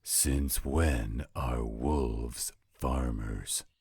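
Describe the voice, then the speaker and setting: A man voicing a gruff, patient older werewolf stepfather in a scripted roleplay audio drama. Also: very deep voice, darkish tone, no echo, exaggerated voice. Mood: dry, gruff. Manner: gruff, dry, unhurried.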